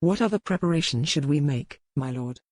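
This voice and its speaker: A man playing a bitter, loyal medieval knight in an audiobook, speaking formally and gravely. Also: a little high, expressive, brisk, dry recording, studio quality. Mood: grave, urgent. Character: bitter, hostile, loyal medieval knight advising his lord. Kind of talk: dramatized audiobook dialogue.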